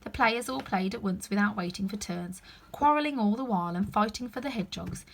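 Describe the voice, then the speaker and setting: A woman narrating a children's story aloud in an expressive, dramatic storytelling style. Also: medium pitch, brisk, gravelly, a little hiss or hum. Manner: animated, dramatic. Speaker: storyteller reading a children's book.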